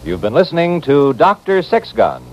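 Animated vintage American radio announcer, male. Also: a little high, moderate pace, very noisy. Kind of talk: 1950s radio credits announcement. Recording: muffled old radio broadcast. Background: music.

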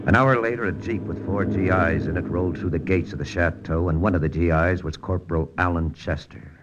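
Deep-voiced hardboiled lieutenant, acted by a man. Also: fairly quick, dull audio, very noisy, lightly acted. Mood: brooding, wry. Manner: terse, clipped, wry storytelling. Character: hardboiled, suspicious male army lieutenant narrating his investigation. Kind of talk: 1940s-50s radio drama acting, first-person noir narration. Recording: old radio recording with music underneath.

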